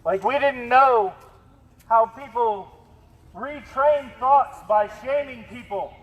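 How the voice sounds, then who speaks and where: An American man giving a confrontational protest speech through a bullhorn, halting mid-phrase as he challenges an official. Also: very high voice, dull audio. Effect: bullhorn amplification. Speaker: protest activist challenging the governor. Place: outdoors at an official's doorstep. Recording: echoey, reverberant noisy outdoor bullhorn recording.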